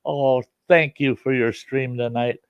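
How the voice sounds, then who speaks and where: A man's voice: medium pitch, steady tone, unhurried, clean tone, no room sound, highs cut off. An older man with a slightly stuffy nose, chatting and reminiscing in a folksy, rambling way as he hosts a casual livestream from home. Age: 60s or older. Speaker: livestream host and storyteller. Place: indoors at home.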